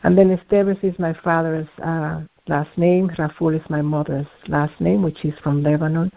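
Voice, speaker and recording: A man from the Dominican Republic, an artist being interviewed, speaking in a measured, searching way and often restarting his words. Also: a little high, little pitch movement, vocal fry. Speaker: artist guest. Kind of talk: reflective interview talk. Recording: tinny phone-line audio.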